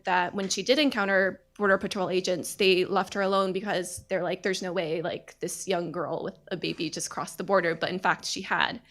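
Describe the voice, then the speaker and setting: A woman in her thirties opens a panel discussion with a personal story. She talks earnestly and at a measured pace, with frequent hesitations. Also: medium pitch, a bit bright. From United States. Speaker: panel moderator.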